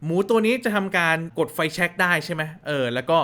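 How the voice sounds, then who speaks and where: A chatty, expressive man from Thailand hosting a casual horror reaction, commenting and exclaiming to viewers. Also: sits high, fast. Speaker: host of a horror reaction channel.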